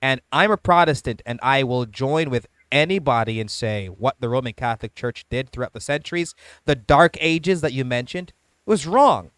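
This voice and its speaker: A Protestant man hosting a casual debate, talking in a steady, measured, explanatory way. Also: mid-range voice, clear, resonant tone, dry recording.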